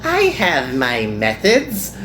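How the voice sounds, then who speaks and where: A man putting on a high, lilting anime girl. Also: wildly swooping pitch, slow pace, pushed and bright, slight background noise, heavily acted. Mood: friendly, coaxing. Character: cheerful, caring young anime girl. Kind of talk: playful visual novel character reading.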